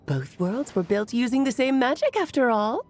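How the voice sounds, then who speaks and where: A woman's voice: on the high side, big pitch swings, normal speed, darkish tone. A woman playing a playful, whimsical storyteller mage aunt in a video game, with a theatrical, teasing, grandiose delivery. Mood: knowing, dramatic. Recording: music behind the voice.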